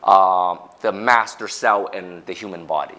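A middle-aged man from Hong Kong answers interview questions in a low voice on a lapel mic. He explains in an easygoing, plain way. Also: unhurried, sharp tone. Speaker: company CEO, guest. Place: TV studio set.

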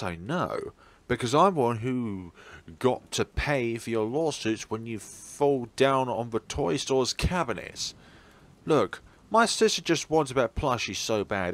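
A man's voice: medium pitch, animated, measured pace, a bit bright. A man voicing a dry-witted, exasperated teenage schoolboy in a visual novel, scolding and easily flustered.

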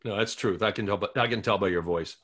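A man's voice: lowish voice, fast, fairly bright tone. A man playing an eager, hopelessly romantic forty-five-year-old radio host in a comedy table read. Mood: eager, hopeful. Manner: eager, pleading.

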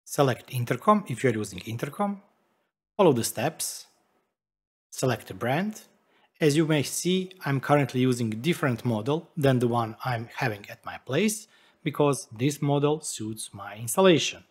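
A man's voice: mid-range voice, animated, moderate pace, slightly rough. A man in his forties, a non-native English speaker, explaining a tech tutorial emphatically as a video host. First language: not English. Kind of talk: tutorial narration to camera.

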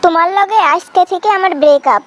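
A woman's voice: very high-pitched, fairly quick. A woman playing an indignant, stern young sweetheart who scolds her lover in a comic cartoon, with a Bangladeshi accent. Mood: angry. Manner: scolding, reproachful. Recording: noticeable background noise.

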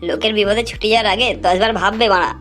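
A woman's voice: mid-range voice, very fast, a bit bright, close to an everyday voice. A woman playing a blunt, foul-mouthed village gossip in a comic cartoon, chatty and crude. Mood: blunt grumbling. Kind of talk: amateur comic cartoon dub. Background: faint background music.

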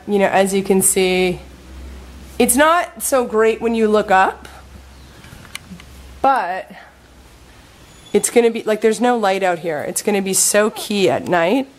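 A woman in her thirties chatting casually as she walks through her house, filming on a handheld phone and using lots of fillers. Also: mid-range voice. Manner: chatty, upbeat, lots of fillers like "like" and "you know". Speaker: vlogger giving a home tour. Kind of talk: casual house tour walkthrough. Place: house with open patio in a warm climate. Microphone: handheld phone camera, often at arm's length.

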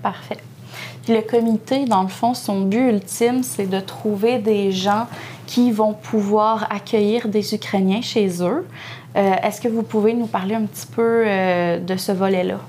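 Mellow-voiced young woman interviewer. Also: mid-range voice, steady tone, unhurried, slight background noise. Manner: plain, conversational questioning. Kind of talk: studio TV interview. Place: small TV studio. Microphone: lapel.

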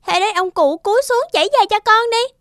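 A woman's voice: fast talker, a little hiss or hum. A woman voicing a vain, spoiled little girl in a cartoon fairy tale, childlike, whiny and theatrical. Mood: vain, sulky. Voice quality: childlike, high and bright. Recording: music behind the voice.

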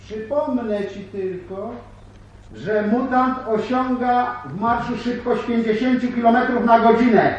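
An actor in a radio play voicing a menacing mutant posing as a police deputy, taunting and deliberate. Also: male voice, very high voice, breathy edge, lo-fi sound, exaggerated voice. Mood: gloating. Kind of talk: Polish radio play acting.

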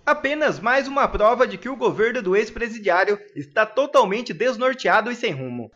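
A man's voice: sits high, lively intonation, moderate pace, fairly bright tone, muffled recording. A man reading sensational news headlines in a partisan tone. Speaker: news channel narrator.

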